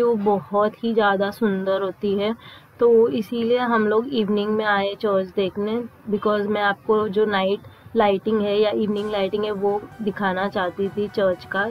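A woman's voice: mid-range voice, dull audio. A young woman narrating a travel vlog in a voice-over, mixing Hindi and English. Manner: slow, even Hindi-English mix. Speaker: travel vlogger.

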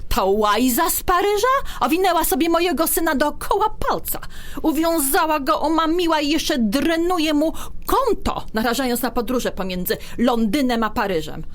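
A woman's voice: high-pitched, moderate pace. Woman playing a scandalized overprotective grandmother. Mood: indignant. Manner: dramatic, scandalized, lively swings. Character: indignant older grandmother, overprotective. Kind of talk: radio drama acting.

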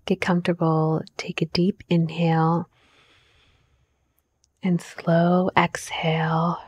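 A woman narrating a scripted guided visualization in a gentle, measured manner, with deliberate pauses. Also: low voice, dry recording. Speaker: meditation guide, mindset coach. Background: background music.

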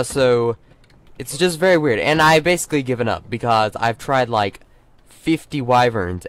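A man's voice: medium pitch, smooth, no room sound. A young man with a sore throat and a stuffy nose, rambling through casual let's play commentary with many fillers and restarts. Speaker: gaming channel host.

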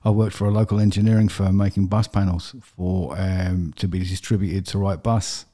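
A middle-aged man from London with a London accent, a podcast guest telling his story plainly, with steady fillers. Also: low voice, moderate pace. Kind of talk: personal interview. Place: podcast studio with brick wall. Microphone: studio desk mic with headphones.

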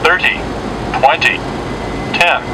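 A synthetic man's voice making clipped, measured automated altitude announcements in an aircraft. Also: on the high side. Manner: measured, clipped single phrases. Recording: cockpit speaker in loud engine noise.

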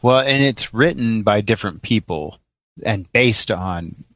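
A man's voice: lowish voice, animated, unhurried, fairly bright tone, dry recording. A man talking casually as a co-host in a podcast discussion.

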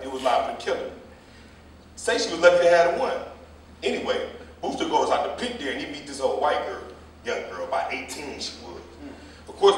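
A man's voice: on the high side, natural voice. A man playing a gossipy, combative older jitney driver in a stage play reading, telling stories in a folksy, rambling, colloquial way. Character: gossipy, combative old male jitney driver, scolding the young. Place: theater or hall staged reading, far mic. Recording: echoey hall, distant mic, faint noise.

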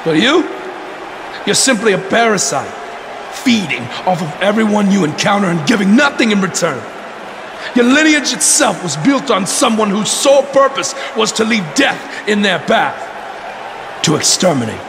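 A man playing a menacing cult-leader villain in a wrestling video game, theatrical, with dramatic pauses. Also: high voice, very animated, vocal fry, heavily acted. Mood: menacing, gloating. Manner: theatrical, slow dramatic pauses, sudden shouts. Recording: echoing arena reverb, noisy background.

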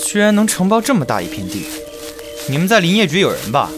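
A man's voice: high voice, wildly swooping pitch, fast talker, over music. A man acting a cocky, foul-mouthed, defiant young captive in a radio drama, brash and sarcastic. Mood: wry.

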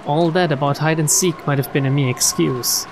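A man's voice: on the high side, fairly even intonation, moderate pace, noisy background, natural voice. A man voicing a proud, anxious, dutiful noblewoman in formal, dramatic inner monologue for a mystery visual novel. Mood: uneasy.

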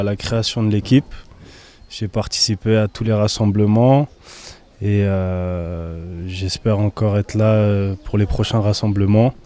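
A young man with a low, dark voice answers briefly and hesitantly outdoors, close to a handheld mic. His delivery stays flat and even. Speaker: footballer. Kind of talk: post-match style interview. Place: outdoors among trees. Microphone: handheld microphone held by interviewer.

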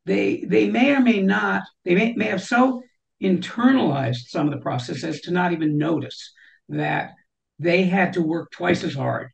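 A woman's voice: lowish voice, animated, moderate pace, creaky, rough recording. An older woman, a guest on an interview show, speaks from home over a video call, with many restarts and "you know" fillers. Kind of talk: interview conversation. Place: home room with shelves.

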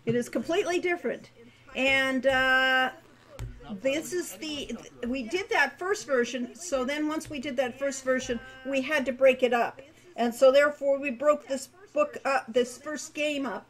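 An American woman in her sixties chatting casually on a livestream from home, halting and rambling as she thinks aloud. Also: high voice, fairly bright tone, poor audio. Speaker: game designer and co-writer, livestream host. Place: home room. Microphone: webcam-style setup, no headset, at desk distance.

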